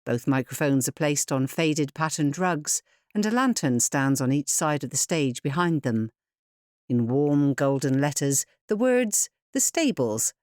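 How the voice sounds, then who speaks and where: A woman with a low voice narrates audio description from a script. Her delivery is measured and clearly enunciated, in a dry, close recording. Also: no echo.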